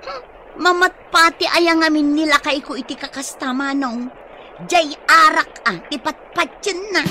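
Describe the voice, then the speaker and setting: A woman's voice: sits high, unhurried. A woman with an Ilocano accent acting an exasperated, nagging wife and mother in a radio drama. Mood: troubled. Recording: noisy ambience behind the voice.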